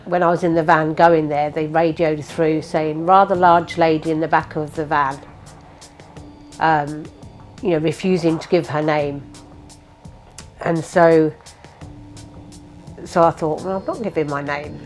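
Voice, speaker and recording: Low-voiced woman telling a wry story. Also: steady tone, measured pace, pauses often, faint music behind. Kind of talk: interview testimony.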